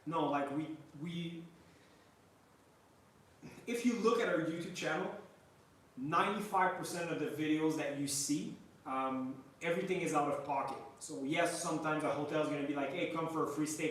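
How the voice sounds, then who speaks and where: A man's voice: a little high, recorded from a distance. A young man with a French Canadian accent, a native French speaker from Montreal, chatting casually on a travel livestream with many fillers. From Montreal, Quebec, Canada. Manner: rambling, many "like" fillers and restarts. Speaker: travel vlogger hosting a livestream. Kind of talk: casual live chat reading viewer questions. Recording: echoey room, off-mic, faint noise.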